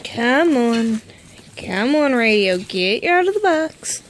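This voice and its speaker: A woman's voice: fairly high pitch, sing-song, slow, slight background noise. A woman casually narrating an unboxing as a vlogger, informal, with muttered asides to herself. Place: indoors at home.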